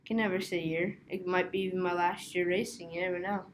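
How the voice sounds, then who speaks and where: A man's voice: high voice, level tone, fairly quick, a little hiss or hum. A young man talking as a podcast guest in a laid-back, rambling way with fillers. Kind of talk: casual podcast chat.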